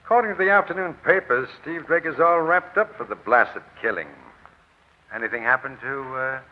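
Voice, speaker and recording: An actor playing a smooth, evasive gambling club boss with a formal, measured manner in a 1940s radio crime drama. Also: male voice, mid-range voice, lightly acted. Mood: smooth, guarded. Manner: formal, measured, self-possessed. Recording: muffled, noisy old radio broadcast.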